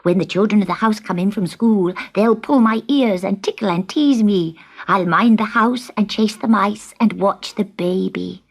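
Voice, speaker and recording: A woman's voice: mid-range voice, moderate pace, gravelly. A woman voicing a small, timid, good-hearted young male kitten in a children's storybook, earnest and plaintive. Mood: earnest, wistful.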